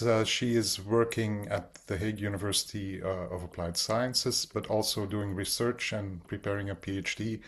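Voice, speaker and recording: A man moderating a webinar over a video call, introducing the program and speaker with many hesitant uhs. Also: on the low side. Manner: hesitant, frequent "uh" fillers.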